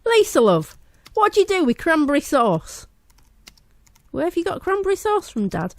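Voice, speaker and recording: A man voicing a young girl from West Yorkshire reading out her diary in a deadpan way. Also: very high voice, normal speed, no room sound, voice pushed up, full character voice.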